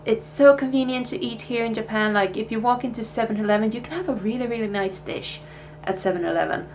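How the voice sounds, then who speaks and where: A woman's voice: a little high, fast, a little mellow, a little hiss or hum, low-quality recording. A young Swedish woman with a Swedish accent, chatting casually in a life update vlog, with plenty of fillers and restarts. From Sweden. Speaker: vlogger.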